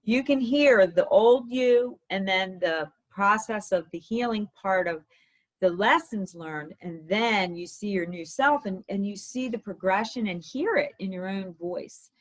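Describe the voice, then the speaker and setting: A woman dating coach with a slightly hoarse voice, giving upbeat advice in conversation. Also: mid-range voice, unhurried. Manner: upbeat, advising. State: slightly hoarse from talking all day.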